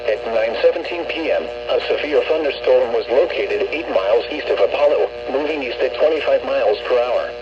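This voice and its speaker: A synthetic man's voice reading a scripted weather warning bulletin in a formal, flat, clipped way as a radio announcer. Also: mid-range voice, normal speed, few pauses. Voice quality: synthetic text-to-speech voice. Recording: muffled, noisy weather radio with hum.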